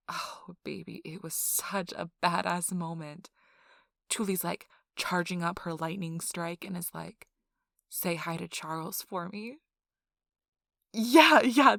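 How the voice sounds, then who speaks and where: A woman playing an excitable, nerdy young girlfriend who rambles casually about her tabletop game in an animated ASMR role-play. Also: fairly low pitch, creaky, no room sound, clean studio sound. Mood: thrilled. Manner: animated, breathless emphasis, frequent "like" asides.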